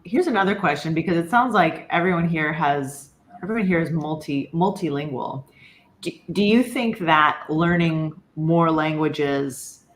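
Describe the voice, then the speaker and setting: Low-pitched woman hosting in an echoey room. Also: a bit bright, a little hiss or hum. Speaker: host leading a group discussion. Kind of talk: casual conversational moderating.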